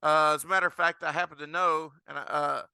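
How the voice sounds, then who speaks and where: A man hosts a casual podcast interview in a somewhat high voice with plenty of gravelly creak. His tone is extremely bright and sharp. He speaks conversationally, as one chatting with a guest. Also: fairly even intonation, brisk, no echo.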